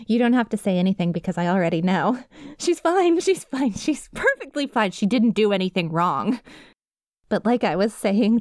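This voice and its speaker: A high, sweet voice of a lovesick young woman played by an actress in an intimate ASMR roleplay. She is nervous and clingy, swinging between tender pleading and quiet menace. The sound is very dry, with no room around it. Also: no room sound. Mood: agitated, defensive, then hurt, jealous.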